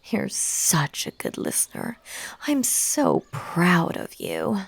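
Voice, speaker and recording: Casting: female actor as a possessive yandere bunny girl for audio roleplay; very unhurried, creaky, coaxing and hypnotic. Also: medium pitch, sing-song, no echo, exaggerated voice. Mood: tender, soothing. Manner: slow, breathy, coaxing, slipping into whispers. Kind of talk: intimate audio roleplay acting.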